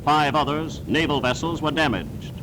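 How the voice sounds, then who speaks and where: A man's voice: on the high side, moderate pace, very noisy. A man reading scripted 1940s newsreel narration in a formal, emphatic, declamatory manner on a vintage soundtrack.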